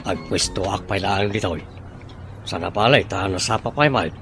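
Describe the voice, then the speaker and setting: A man acting a calm, kindly older-brother figure in an Ilocano radio-style drama, with an Ilocano Filipino accent. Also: sits low, normal speed. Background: loud plucked guitar music.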